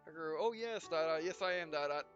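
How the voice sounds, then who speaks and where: A man with a fairly high voice reads game text aloud in a casual, stumbling way, voicing the punctuation too. The sound is dull and lo-fi, with clear game music underneath. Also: a bit bright. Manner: halting, reads punctuation and speaker names aloud. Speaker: let's player reading visual novel text aloud. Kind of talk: casual gameplay read-aloud with asides.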